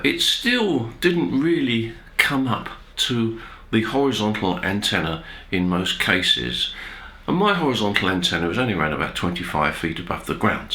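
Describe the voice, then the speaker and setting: Guy doing informal tech explainer video, really lively intonation, pauses a lot, echoey room. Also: on the low side. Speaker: amateur radio video host. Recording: roomy, echoey indoor sound.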